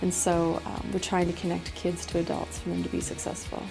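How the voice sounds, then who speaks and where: A middle-aged woman gives a casual interview as a school principal. Her voice sits on the low side with a frequent creak, and her intonation stays very even. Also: brisk, music in the background.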